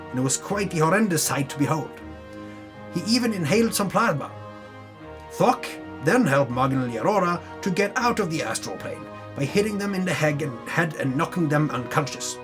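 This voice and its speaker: A man with a somewhat high voice narrates a tabletop campaign recap as a dramatic reading. He tells it theatrically and slips in wry asides. Music plays behind him. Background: music under the voice.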